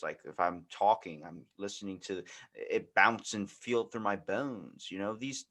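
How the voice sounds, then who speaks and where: A man's voice: lowish voice, a bit bright. A man hosting a podcast in a casual, rambling, musing way, with emphatic phrases and lots of filler "like". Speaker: podcast host. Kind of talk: casual conversational interview.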